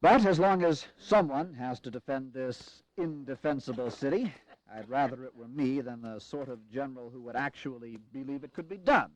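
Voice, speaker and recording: A man playing a pragmatic, weary army commander in a historical audio drama, speaking in a measured, grave and formal way with wry resolve. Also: mid-range voice, no room sound, close to an everyday voice, low-quality recording. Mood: resigned, explaining.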